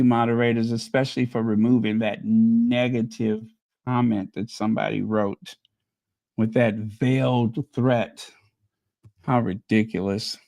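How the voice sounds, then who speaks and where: A man hosting a casual livestream, musing aloud and chatting with viewers, with many pauses and ums, while saying he is losing his voice. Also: medium pitch, a little mellow, dry recording, studio quality. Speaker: channel host.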